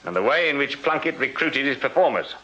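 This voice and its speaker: An actor playing a shrewd, unflappable British police sergeant in a Victorian radio drama, measured, formal and dryly authoritative. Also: male voice, medium pitch, expressive, pushed and bright. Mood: measured explaining. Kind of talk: BBC radio period drama acting. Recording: muffled, very noisy radio drama recording.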